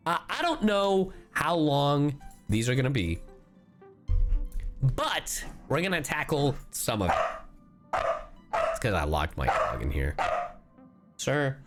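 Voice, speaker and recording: A man streaming a video game live, chatting casually in an animated, exclamatory way with some swearing. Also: mid-range voice. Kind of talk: casual livestream chat and game reactions.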